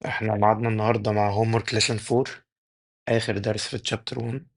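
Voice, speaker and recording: Brief: young egyptian male tutor, rapid-fire delivery, gravelly and half-gone voice, quizzes himself aloud. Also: fairly low pitch, level tone. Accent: Egyptian Arabic. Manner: rapid drilling, asks and answers his own questions. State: losing his voice. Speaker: chemistry teacher. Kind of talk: exam-prep tutoring lesson.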